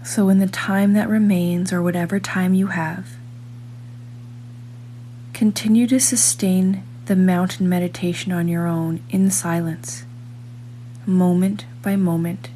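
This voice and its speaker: Low, mellow woman guiding a meditation. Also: slight background noise. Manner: calm, measured, with long pauses. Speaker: meditation guide, reader. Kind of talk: guided meditation, scripted narration.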